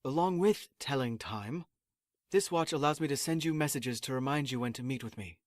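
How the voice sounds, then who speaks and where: A man's voice: mid-range voice, no echo, natural voice. Man playing a stern, dry-sounding mission handler. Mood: brisk briefing. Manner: firm, brisk authority, dry. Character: stern, pragmatic male space mission lecturer and handler. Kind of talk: audio drama acting.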